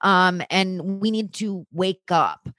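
A woman speaks openly and with energy as a guest on a podcast, swearing easily. Her voice sits a little low. The sound is dry and close, with no room echo. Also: slow pace. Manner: candid and animated, swears freely. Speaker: interview guest, actress. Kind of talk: casual podcast conversation.

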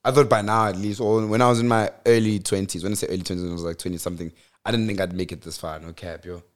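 A young man from South Africa chats on a podcast in a low, relaxed voice. He talks loosely, with plenty of slang and frequent laughter. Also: rapid-fire. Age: 20s. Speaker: podcast co-host. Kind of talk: casual chat.

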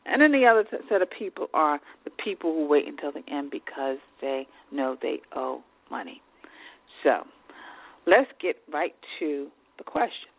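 A woman's voice: low voice, animated, moderate pace, no echo. A woman hosting an advice podcast, sounding congested and nasal, reading off lists of tips with casual fillers. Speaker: podcast host. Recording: tinny phone-like line.

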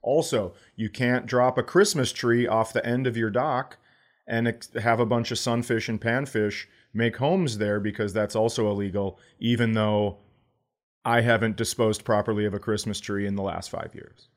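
A man with a fairly low voice chats as a podcast co-host. His style is casual comic banter, wry and teasing, with some loose swearing. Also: steady tone, moderate pace.

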